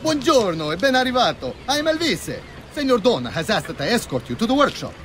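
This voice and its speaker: An actor playing an effusive, proud Renaissance Venetian tour guide with an Italian accent, florid and showy in a video game. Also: male voice, very high voice, very animated, quick pace, a bit bright, slight background noise, heavily acted. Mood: showy pride. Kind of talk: theatrical video game acting. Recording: music behind the voice.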